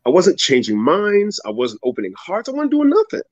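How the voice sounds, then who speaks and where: A man in his thirties tells his story on a video call, an animated, dramatic storyteller whose voice jumps high when he mimics others. The sound is dull and dry, like a home webcam mic. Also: fairly quick, no echo. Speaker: guest speaker. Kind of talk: storytelling interview answer. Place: home room with ceiling fan, indoors.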